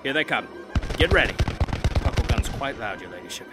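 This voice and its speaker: A battle-ready gunner in an audio drama, acted by a man, with a very lively, swinging delivery. He is respectful to a noblewoman yet blunt under fire, and loud dramatic music plays beneath him. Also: mid-range voice, moderate pace, natural voice. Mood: urgent, combative. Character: gruff, deferential soldier gunner named Stavros, battle-ready. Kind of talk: full-cast audio drama acting.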